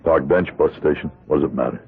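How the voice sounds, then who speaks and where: Older ex-convict, pleading and worn down, deep husky voice, vintage radio drama on a thin, phone-like recording. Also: male voice, quick pace, heavily acted. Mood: tender, weary. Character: weary gray-haired ex-convict, broken and pleading. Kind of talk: 1970s radio drama acting. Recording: tinny, band-limited old radio broadcast.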